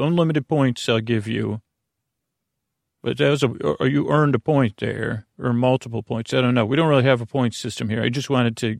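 Creaky-voiced man rambling soothingly, rather deep. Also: no room sound, studio quality. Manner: meandering, hesitant, full of tangents and self-interruptions; soothing, lulling. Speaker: podcast host. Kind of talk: rambling bedtime monologue meant to lull to sleep.

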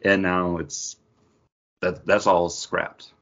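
Low-pitched husky man, casual podcast co-host. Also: flat delivery, slow, a bit bright. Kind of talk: casual pop-culture discussion. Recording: dull, lo-fi podcast audio.

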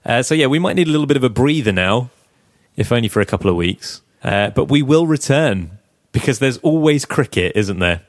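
Croaky man hosting a podcast. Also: mid-range voice, expressive, a little fast, dry recording, studio quality. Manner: chatty, laughs often, teasing. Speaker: podcast host. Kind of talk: casual podcast banter. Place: flat.

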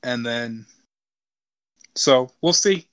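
A man with a smooth, clean voice of middling pitch chats casually. He sounds like a podcast co-host joining in an informal discussion. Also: very slow, a bit bright. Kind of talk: casual chat.

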